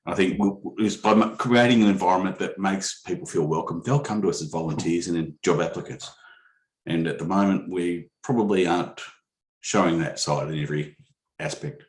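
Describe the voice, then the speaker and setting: Deep-voiced man, halting on a call. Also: reverberant, low-quality recording. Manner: halting, many ums and restarts. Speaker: panellist. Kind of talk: unscripted panel answer. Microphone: video call.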